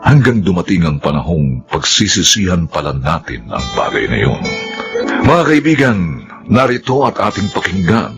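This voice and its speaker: A man giving solemn, deliberate scripted narration as a radio drama narrator. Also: deep voice, sing-song, music in the background.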